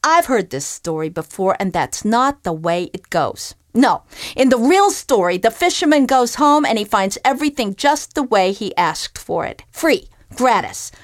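A lazy, greedy fisherman whines and complains about his lot, as a woman reading the story puts him on. His voice is pitched up and pushed loud. The recording is dry. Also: sing-song, unhurried, no room sound. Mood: indignant. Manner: complaining, entitled. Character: lazy, greedy poor male fisherman, whiny.

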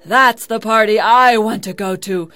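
A woman playing an excitable, greedy man, loudly exclaiming. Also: high-pitched, clean tone, faint music behind. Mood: eager, greedy. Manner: exclaims loudly, drawn-out emphatic words. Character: greedy, excitable, envious villager in a folktale.

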